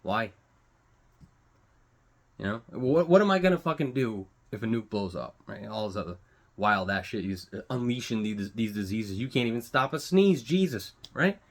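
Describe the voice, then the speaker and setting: A man's voice: lowish voice, expressive, normal speed. An American man giving an unscripted, blunt opinion rant, swearing heavily and repeating phrases for emphasis. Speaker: vlogger giving commentary.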